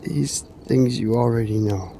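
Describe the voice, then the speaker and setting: An actor playing a haunted, weary warrior in a fantasy radio drama, speaking gravely and haltingly. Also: male voice, medium pitch, mellow, a little hiss or hum. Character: broken, haunted brave warrior, solemn and weary.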